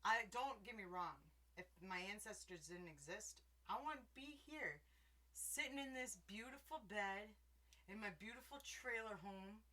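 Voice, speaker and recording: A middle-aged woman hosting a casual podcast, a little drunk and slurring slightly, laughing loudly as she chats from her bed at home. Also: medium pitch, expressive, unhurried, rough recording. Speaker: podcast host. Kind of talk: casual tipsy chat. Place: bedroom in a trailer home.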